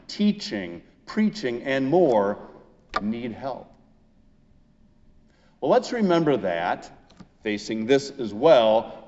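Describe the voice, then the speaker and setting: An American man preaching a sermon as a pastor, in a deliberate, emphatic way with pauses between phrases. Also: medium pitch, a little mellow. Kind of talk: Easter sermon, measured scripted preaching. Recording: muffled, long church-like reverb.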